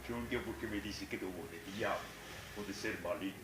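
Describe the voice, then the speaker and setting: A young man voicing a deep, sinister, evil-being voice, slow, low and menacing. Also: echoey room, background noise, natural voice.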